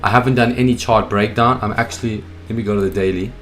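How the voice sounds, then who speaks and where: A young man streaming from his home office, his voice hoarse and froggy from a cold. He sits low in pitch and talks in a hyped, casual way, calling out to viewers in the chat. Soft music plays faintly behind him. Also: steady tone, quick pace. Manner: hyped, greets the chat by name. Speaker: streaming host.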